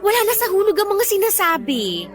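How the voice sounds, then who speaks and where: An actress playing a blunt, gossipy and loyal best friend, slangy and outspoken, in a Tagalog radio drama. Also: female voice, extremely high pitch, sing-song, a little fast, soft background music. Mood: exasperated, supportive.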